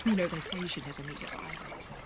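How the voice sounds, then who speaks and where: A woman's voice: deep voice, quick pace, lightly acted. A woman playing a gushing, entitled, dramatic female waterfowl fan in a comedic audio drama. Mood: indignant. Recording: loud watery background noise.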